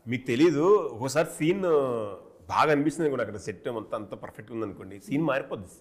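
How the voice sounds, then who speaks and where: Fast-talking male actor in interview. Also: medium pitch. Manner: explanatory, switches into English phrases. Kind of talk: casual interview chat.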